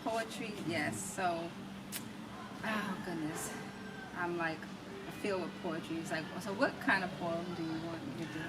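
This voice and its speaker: An American woman, an interview guest and poet who moves between casual talk and expressive, rhythmic spoken-word recitation. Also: on the low side, normal speed, over loud music. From United States. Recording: noisy, distant mic with ambient sound.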